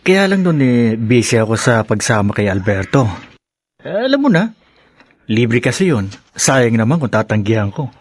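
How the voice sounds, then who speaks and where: A cheeky, broke young boyfriend in a radio drama, voiced by an actor. He coaxes and jokes with a mid-pitched voice, and the sound is dry and close. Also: very animated, normal speed, long pauses, dry recording. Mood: joking. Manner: joking, coaxing.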